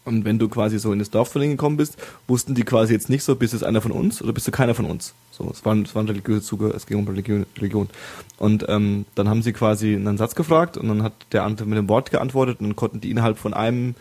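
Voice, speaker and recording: A man's voice: on the low side, quick pace, dark tone. A man from Bavaria talking in a casual chat, rambling with many restarts and fillers.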